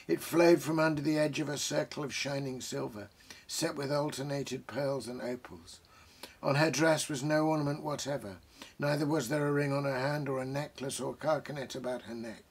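A British man in his sixties, a host talking about books and reading aloud, reflective and savouring his phrases with deliberate pauses. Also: mid-range voice, creaky, slightly rough. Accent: British English. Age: 60s. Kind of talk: bookish commentary and reading aloud. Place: small book-crammed study, indoors.

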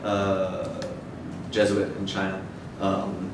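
Male academic, deep voice, measured and hesitant lecture delivery, recorded from afar in a reverberant room. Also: monotone. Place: dim wood-shelved seminar room with audience, indoors. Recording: echoey room, distant mic, noisy rumble.

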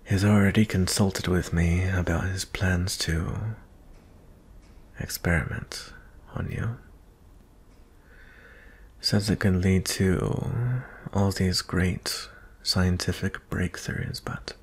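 A man playing a gentle, merciful young prince in an intimate ASMR fantasy roleplay, soft-spoken and hesitant, often slipping into whispers. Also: low-pitched, fairly even intonation, takes it slowly, slightly rough, heavily acted. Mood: reassuring. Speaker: audio roleplay performer.

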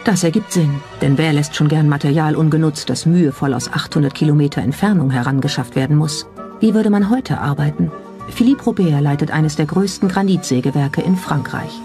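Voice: mid-range voice, a little fast, mellow. A narrator reading a scripted documentary. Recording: music under the voice. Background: background music.

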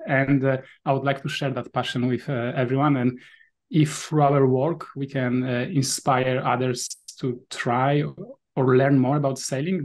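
A man's voice: medium pitch, darkish tone. A man in his thirties from Poland with a Polish accent, a guest on a video call, explaining things thoughtfully with many fillers and restarts. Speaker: guest, VR sailing app developer. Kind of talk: casual interview answers.

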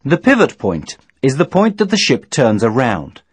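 A man reading scripted instructional narration in a measured, formal, didactic manner. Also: medium pitch, huge pitch swings, husky, no echo. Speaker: training video narrator.

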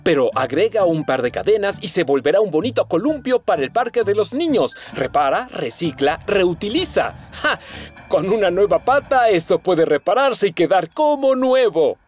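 A man's voice: high-pitched, very animated, normal speed, faint music behind. A man voicing a cheerful, enthusiastic scrapyard crane in a Latin American Spanish cartoon dub. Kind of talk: animated children's cartoon dubbing.

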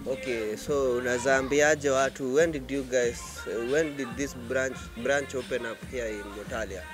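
A young Kenyan man speaks as a show host in a casual, street-style interview. He mixes Swahili and English into a handheld microphone in a shop, at a medium pitch, with music clearly playing behind him. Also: fairly even intonation, moderate pace. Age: young adult. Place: inside a supermarket.

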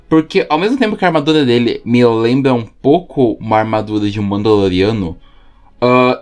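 A man's voice: mid-range voice, darkish tone. A man with a Brazilian accent giving enthusiastic, informal fan commentary as a YouTube host, using plenty of slang. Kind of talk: casual fan commentary voice-over. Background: music under the voice.

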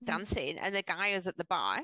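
A woman in her forties with an English accent softened by years in Australia, co-hosting a casual video-call chat and firing off rhetorical questions. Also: lowish voice, dry recording. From England. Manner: animated, asks rapid rhetorical questions. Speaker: co-host. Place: home room, indoors. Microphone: headset.

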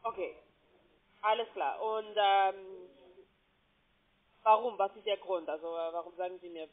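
A woman's voice: mid-range voice, steady tone. Hesitant woman on a tinny phone line. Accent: foreign. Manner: hesitant, halting. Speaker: call centre agent.

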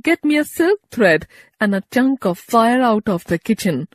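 A woman voicing an elderly, excitable, loving aunt who scolds dramatically in a children's storybook. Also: fairly high pitch, moderate pace, dry recording, voice pushed up. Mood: brisk scolding.

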